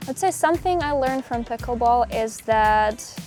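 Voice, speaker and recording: A woman's voice: on the high side, animated, moderate pace, a little mellow, soft background music. A young woman from Russia speaking English, answering interview questions outdoors in a reflective, casual way. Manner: reflective, with small restarts. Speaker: pro pickleball player, guest. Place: outdoors on a hiking trail.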